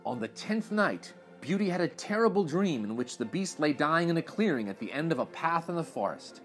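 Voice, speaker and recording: A man in his thirties reading a fairytale aloud to children in expressive narration. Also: a little high, brisk, faint music behind. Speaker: children's story reader. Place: small furnished room indoors.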